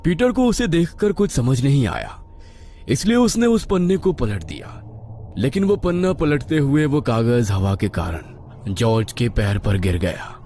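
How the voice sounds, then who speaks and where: Male horror narrator, mid-pitched, mellow, suspenseful and dramatic; faint noise behind. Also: expressive, a little slow. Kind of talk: scripted dramatic Hindi story narration.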